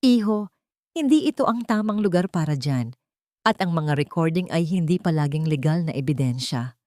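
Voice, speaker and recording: Woman voicing a cautious male doctor, measured. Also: low-pitched, expressive, warm tone, dry recording, clean studio sound.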